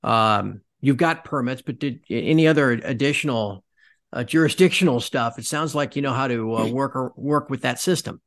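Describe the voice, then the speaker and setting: Rambling podcast host. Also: male voice, mid-range voice, normal speed, fairly bright tone. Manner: rambling, conversational questions. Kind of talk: podcast interview.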